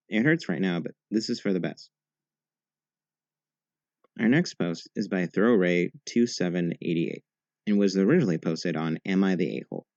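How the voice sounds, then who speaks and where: A man with a deep, low voice reads first-person posts aloud in an even, steady way. The sound is dry and close, with a slightly dull, muffled tone. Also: creaky, no room sound. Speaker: narrator reading posts. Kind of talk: scripted narration.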